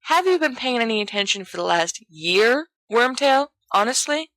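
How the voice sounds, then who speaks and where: A woman's voice: a little high, animated, moderate pace, a bit bright, no room sound, voice pushed up. A woman voicing a haughty, mocking schoolboy wizard in a storybook reading, with a withering drawl.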